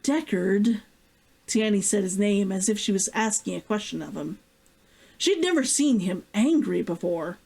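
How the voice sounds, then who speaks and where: A woman reading a novel aloud as its author, in an expressive audiobook-style narration. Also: medium pitch, moderate pace, vocal fry, slightly rough.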